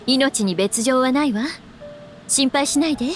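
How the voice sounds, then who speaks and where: A woman voicing a composed, authoritative woman of a knightly order in an anime game, calm, formal and reassuring. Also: high voice, fast, soft background music, lightly acted. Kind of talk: anime game voice acting in Japanese.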